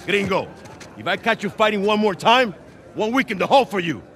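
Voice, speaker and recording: An actor playing a stern, menacing prison guard boss in a cinematic game, curt and commanding, with noisy yard ambience. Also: male voice, sits high, very animated, a little fast, a bit bright, full character voice. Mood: threatening.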